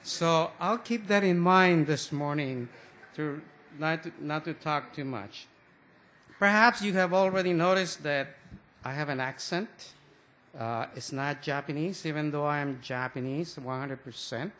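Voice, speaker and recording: Older man, fairly high voice, slow, halting, restarts often, church talk. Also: a bit bright. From Mexico. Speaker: guest church speaker. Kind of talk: anecdotal sermon talk.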